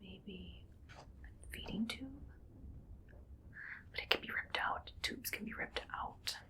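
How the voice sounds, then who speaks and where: A woman playing a bossy, musing female mad scientist in a whispered ASMR roleplay, speaking in a breathy, clinical, quietly menacing way. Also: medium pitch. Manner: slow musing, clinical, domineering. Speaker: ASMR performer.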